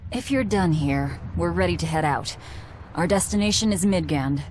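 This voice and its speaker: A woman playing a cold, guarded young fighter in an anime game dub, blunt and deadpan. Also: on the low side, moderate pace, a little hiss or hum, close to an everyday voice. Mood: businesslike.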